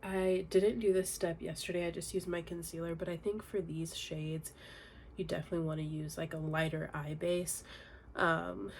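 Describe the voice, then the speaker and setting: A woman's voice: on the low side, slight background noise. A young woman with a congested, nasal voice from a cold, chatting casually in a makeup tutorial at home. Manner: chatty, relaxed, many fillers. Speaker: beauty vlogger. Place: home, indoors.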